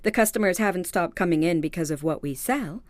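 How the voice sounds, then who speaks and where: A woman's voice: on the low side, animated, brisk, no echo, close to an everyday voice. A woman acting in a radio-style mystery drama as a polite, anxious store heiress, speaking in a formal, earnest, dramatic way. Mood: worried, earnest. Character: polite, anxious female department store heiress seeking help. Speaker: actor in an audio drama.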